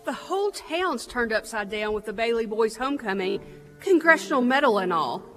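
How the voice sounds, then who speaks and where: An actress playing a principled, outspoken state bank examiner in a stage radio play, forthright and indignant. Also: female voice, fairly high pitch, sing-song, normal speed, fairly bright tone. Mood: suspicious. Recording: reverberant theatre hall, music in recording.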